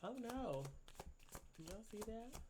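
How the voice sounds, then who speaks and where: A man's voice: high voice, sing-song, slow, noisy background. A man with a congested voice from a cold, giving a casual tarot reading at a desk and rambling with lots of "right?" and fillers. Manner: rambling, filler-heavy, keeps asking "right?". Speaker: tarot reader. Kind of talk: casual spiritual reading to camera. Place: at a desk indoors.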